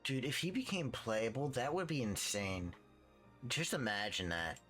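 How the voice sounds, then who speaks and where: A man's voice: medium pitch, very animated, unhurried, fairly bright tone. A young man giving casual, slangy live commentary as he streams a video game. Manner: laid-back, slangy. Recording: game music behind the voice.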